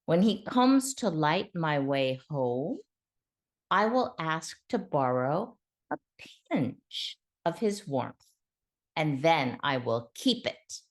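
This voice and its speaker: A gentle trickster fox from a children's storybook, read by a woman. The voice is soft and coaxing, low and slow, with sly plans underneath. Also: sing-song, exaggerated voice. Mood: soft, scheming.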